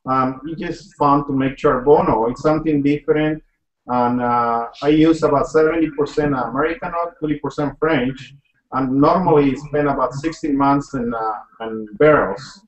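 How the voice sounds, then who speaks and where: A man in his thirties, a winemaker, explaining his wine plainly with some hesitation over a video call. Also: mid-range voice. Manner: plain, halting, with fillers. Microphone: video call webcam. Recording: dull echoey webcam audio.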